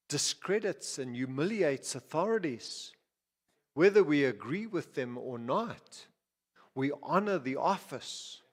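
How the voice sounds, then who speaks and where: A man from Zimbabwe preaching a sermon as pastor, talking conversationally with frequent restarts, asides and repeated words. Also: medium pitch. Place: church. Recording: reverberant hall.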